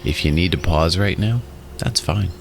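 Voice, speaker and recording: A man narrating a guided relaxation in a soothing, instructional way, in short phrases with pauses. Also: deep voice, gravelly, slight background noise. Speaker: guided meditation host. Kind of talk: guided meditation and hypnosis narration. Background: music.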